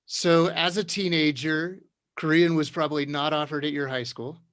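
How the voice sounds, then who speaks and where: A man's voice: a little high, flat delivery, normal speed, fairly bright tone, no echo, clean studio sound. Chatty man moderating an online panel. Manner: chatty, restarts questions, encouraging. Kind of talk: hosting an online round-table.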